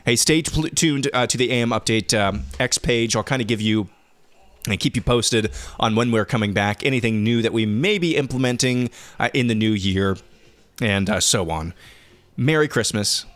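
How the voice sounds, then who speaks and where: A man in his thirties hosting a casual podcast, answering listener questions in a relaxed way with frequent restarts, his voice going hoarse. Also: mid-range voice, normal speed, dry recording. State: losing his voice. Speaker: podcast host.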